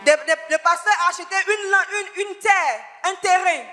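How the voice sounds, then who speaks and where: A man with a very high, sharply bright voice interprets a preacher phrase by phrase, stumbling and correcting himself as he goes. The sound carries a long hall echo, with music underneath. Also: normal speed. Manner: echoes each line, stumbles and self-corrects, joins the shouting. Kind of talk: live sermon interpretation. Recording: echoey church hall with music.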